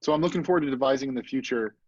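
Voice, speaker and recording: A man with an average-pitched voice talks reflectively and a bit haltingly in a casual panel discussion. The video-call sound is close, dry and slightly dull. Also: no room sound. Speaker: theatre actor and deviser, panelist.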